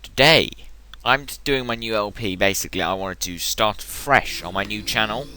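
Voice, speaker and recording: A man's voice: on the low side, moderate pace, a little hiss or hum. A young man giving rambling, casual commentary while playing a video game. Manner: chatty, rambling, self-interrupting. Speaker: let's play gamer. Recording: game music loud behind the voice. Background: game music.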